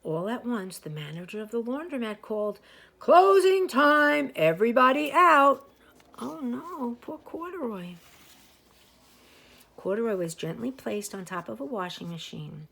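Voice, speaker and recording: A woman reading a picture book aloud as a teacher to young children, with expressive, drawn-out emphasis. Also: mid-range voice, vocal fry, husky. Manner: animated, with slow drawn-out emphasis; asides and explanations for young listeners.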